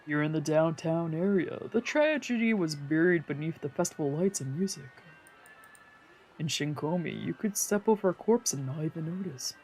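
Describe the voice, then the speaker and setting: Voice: medium pitch, expressive, normal speed, darkish tone, rough recording. A streamer reading a visual novel's narration aloud, occasionally stumbling over a word. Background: background music from a video game.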